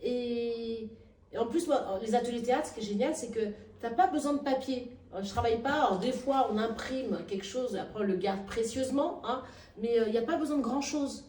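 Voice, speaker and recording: A woman being interviewed, with frequent restarts and hesitations that give way to emphatic, lively stretches. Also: a little high, fairly quick. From Nigeria and Madagascar. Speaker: actress and workshop founder, interviewee. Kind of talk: spontaneous interview talk. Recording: echoey room, heard from a distance.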